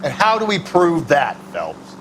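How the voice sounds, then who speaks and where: Gruff, streetwise American police detective. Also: male voice, fairly high pitch, wildly swooping pitch, unhurried, noisy background. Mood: stern, skeptical. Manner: blunt, gruff, world-weary. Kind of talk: video game voice acting.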